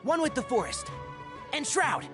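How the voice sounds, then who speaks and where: A young fox-eared forest ranger from a fantasy game. He is bookish and dry-witted, with a lively, swooping delivery and careful diction, over clear background music. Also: very high voice, a little slow, exaggerated voice. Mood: battle-ready, sharp. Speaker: video game character. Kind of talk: scripted game voice lines.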